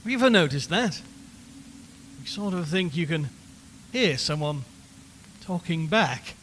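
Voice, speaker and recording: An actor playing an unnerved radio reporter in an audio horror drama, rambling and repeating himself with forced cheer as he slowly loses his nerve. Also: fairly high pitch, expressive, a bit bright. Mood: uneasy, musing. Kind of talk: audio horror drama, live broadcast monologue. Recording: long hollow reverb, clear background noise.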